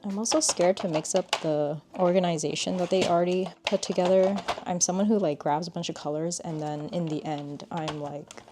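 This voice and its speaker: A young woman with a low-key, monotone voice, calmly chatting while she reviews art markers. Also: background noise. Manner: calm, unhurried, says "like" often. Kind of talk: casual product review chat.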